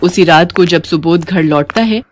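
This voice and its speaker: A woman's voice: on the low side, moderate pace, slight background noise. A woman narrating a scripted moral bedtime story as a storyteller.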